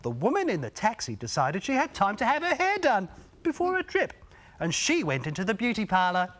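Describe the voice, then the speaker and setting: Wildly animated man reading a children's story. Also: high voice. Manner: theatrical, with drawn-out phrases, gasps and dramatic hushes. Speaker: storybook reader.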